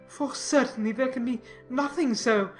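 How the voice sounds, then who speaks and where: A woman's voice: fairly high pitch, moderate pace, faint music behind, voice pushed up, lightly acted. A woman voicing a pompous, self-important and obsequious clergyman in a dramatized classic novel, speaking in wordy, formal sentences. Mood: offended, pompous.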